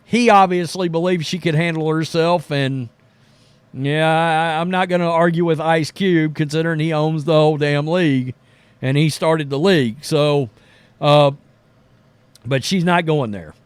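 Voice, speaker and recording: A man's voice: fairly high pitch, unhurried, smooth, no echo. A man giving casual, opinionated sports commentary as a show host, talking in a blunt, informal way. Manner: blunt, informal, mildly profane.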